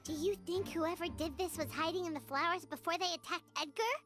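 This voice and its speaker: A woman's voice: very high voice, brisk, fairly bright tone, faint music behind. A woman voicing a small, childlike, curious companion creature in a video game.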